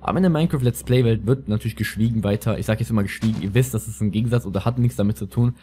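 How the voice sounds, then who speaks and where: A man's voice: lowish voice, racing, mellow, slight background noise. A young man with a lisp giving casual, rambling, slangy gameplay commentary as a let's player. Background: music.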